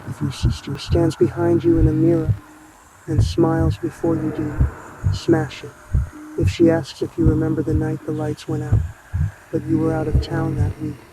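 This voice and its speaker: Higher-pitched ominous man over noisy rumble. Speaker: narrator of a horror broadcast. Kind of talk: scripted analog horror emergency broadcast narration.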